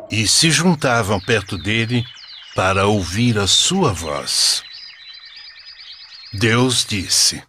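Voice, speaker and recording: A man playing a solemn, kindly grandfather with a Brazilian accent and husky voice, narrating religious stories reverently in a cartoon. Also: fairly low pitch, sharp tone, a little hiss or hum, full character voice. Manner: measured, reverent. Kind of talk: scripted storytelling narration.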